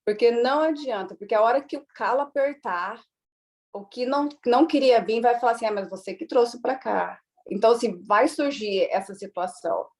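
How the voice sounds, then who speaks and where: A woman's voice: on the high side, fairly quick, darkish tone. Chatty Brazilian-born mother telling stories. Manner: chatty, many fillers, acts out quoted dialogue. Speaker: guest, immigrant mother. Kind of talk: casual interview storytelling.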